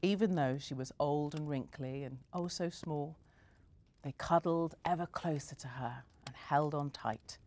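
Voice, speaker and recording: A woman narrates a storybook in a gentle, measured manner. Her voice sits low for a woman. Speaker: story reader.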